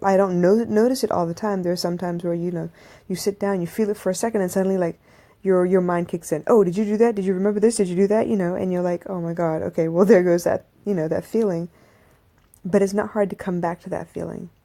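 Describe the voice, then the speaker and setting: A woman's voice: on the low side, dark tone. A woman in her thirties hosting a podcast, talking to listeners in a casual, reflective monologue with asides. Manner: conversational, reflective, with restarts and asides. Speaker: podcast host. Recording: live audio stream from a phone.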